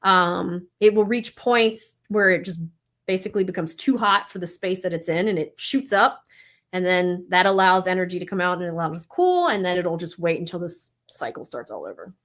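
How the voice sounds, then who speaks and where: A woman in her thirties explains science in loose, candid conversation. She's animated and blunt, tossing in the odd curse and a laugh, heard over a remote call mic. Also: on the low side, moderate pace. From Mississippi Gulf Coast. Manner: frank and animated, swears casually, laughs often. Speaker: guest scientist. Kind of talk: casual interview chat.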